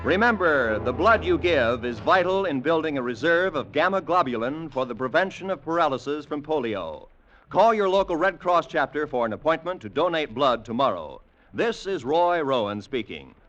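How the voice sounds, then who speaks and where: A man's voice: fairly high pitch, sing-song, moderate pace, fairly bright tone. A man announcing in scripted 1950s network radio style, with a formal, carefully enunciated delivery. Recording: dull vintage radio, music behind.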